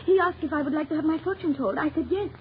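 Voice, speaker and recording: An actress playing a frightened, insistent young woman in an old-time radio melodrama, halting and emphatic, on a tinny recording. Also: high-pitched, close to an everyday voice. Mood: anxious, haunted. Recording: tinny, narrow, hissy vintage radio recording.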